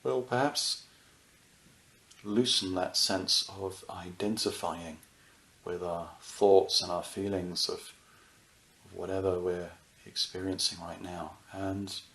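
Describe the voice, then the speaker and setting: A man with a lowish, creaky voice gently guides a meditation. He talks in short, soothing phrases and leaves unhurried pauses between them. The sound is roomy, with a clear echo around his words. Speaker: meditation teacher.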